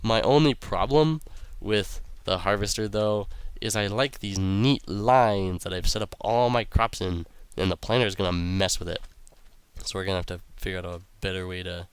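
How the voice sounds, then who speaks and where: A young man hosting a game playthrough, talking casually with a raspy, sick-sounding voice and rambling with lots of ums and uhs. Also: sits low, normal speed, dry recording. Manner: rambling, many ums and uhs, reads quest text aloud. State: raspy voice from illness. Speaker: let's play host.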